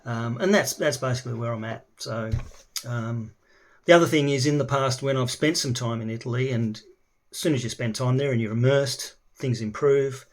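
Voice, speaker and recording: A man's voice: medium pitch, normal speed. An Australian man, middle-aged, introducing himself casually and talking with lots of ums and restarts. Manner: hesitant, many ums and restarts. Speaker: language learner. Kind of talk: casual self-introduction video.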